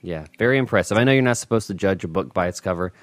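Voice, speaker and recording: A man's voice: sits low, expressive, very fast, dry recording. A male podcast host chatting casually with guest writers, joking at his own expense and repeating words as he talks. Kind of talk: casual interview chat.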